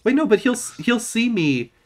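A man's voice: extremely high pitch, big pitch swings, normal speed. Sick young man chatting over a headset. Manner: joking, talkative. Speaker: streamer. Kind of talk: casual gaming chat. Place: small room indoors.

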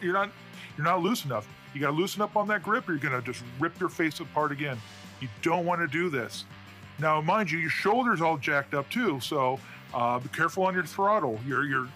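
A man voicing a nervy racer's spotter in a tabletop game, needling over comms in a halting, repetitive way. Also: on the high side, pushed and bright. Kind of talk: in-character tabletop roleplay.

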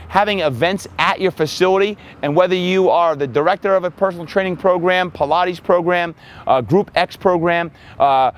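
A man in his forties, a fitness coach, giving energetic marketing tips to the camera outdoors on a lapel mic. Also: fairly high pitch. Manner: energetic, upbeat, talks with his hands. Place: outdoors by a building in sunshine.

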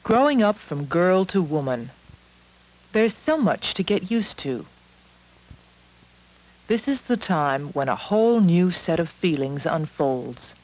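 A woman reading scripted narration for an educational film in a measured, instructional manner. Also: on the low side, sing-song, darkish tone, poor audio.

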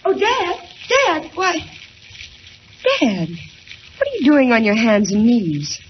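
An actress playing an impulsive, anxious young daughter in melodramatic 1940s radio style. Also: female voice, a little high, wildly swooping pitch, slow pace, exaggerated voice. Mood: puzzled, eager. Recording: dull, hissy 1940s radio recording.

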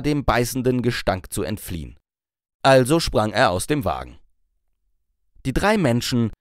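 A man's voice: mid-range voice, dry recording, studio quality. A man reading a story aloud as an audiobook narrator in scripted storytelling style.

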